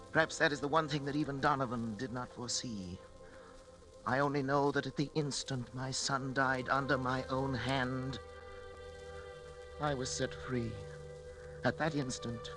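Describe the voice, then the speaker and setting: A man's voice: mid-range voice, close to an everyday voice, poor audio. A man acting a middle-aged, guilt-ridden scientist father in a 1940s radio drama, speaking in a grave, formal, melodramatic manner. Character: guilt-ridden, obsessed scientist father confessing his crime. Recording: old radio recording with music.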